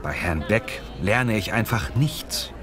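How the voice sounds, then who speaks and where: A man's voice: fairly low pitch, lively intonation, slow pace, over music. A man playing a lazy, muscle-proud Italian language learner in a scripted lesson dialogue, speaking in simple, complaining phrases. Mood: annoyed.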